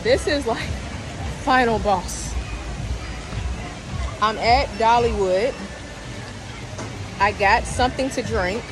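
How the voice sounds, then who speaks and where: Slow-talking woman vlogging amid crowd noise. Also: a little high, low-quality recording. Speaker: customer filming a social media clip. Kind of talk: casual phone vlog. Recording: busy public place, music and crowd. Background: music and crowd noise.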